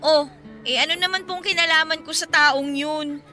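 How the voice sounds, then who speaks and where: Cheeky young woman, high-pitched, piercingly bright, playful, exaggerated, radio drama. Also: steady tone, normal speed. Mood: scornful. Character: cheeky, food-loving young woman, sassy but polite to elders. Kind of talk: Filipino radio drama acting. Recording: music under the dialogue.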